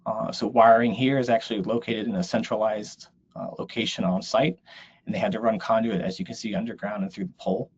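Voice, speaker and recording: A man's voice: on the low side, steady tone, a little fast, slightly rough. A man giving an explanatory slide presentation in a webinar. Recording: dull, lo-fi online audio.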